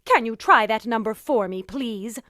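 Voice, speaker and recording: An actress playing a nervous, demanding invalid wife in a suspense radio drama, with an imperious, agitated manner. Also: female voice, fairly high pitch, lively intonation, moderate pace, pushed and bright, dry recording, studio quality. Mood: fretful.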